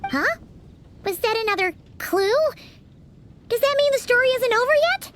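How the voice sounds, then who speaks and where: Game fairy companion: squeaky, very high, lively. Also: female voice, moderate pace, background noise. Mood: curious. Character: small floating fairy companion girl, chirpy. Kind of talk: video game voice acting.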